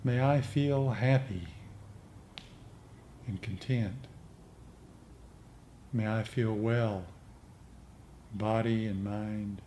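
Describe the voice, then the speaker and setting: A man gently instructing a meditation group in an informal, conversational way. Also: fairly low pitch, fairly even intonation, drawn-out words, long pauses. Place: indoor meditation group meeting. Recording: clearly noisy room recording.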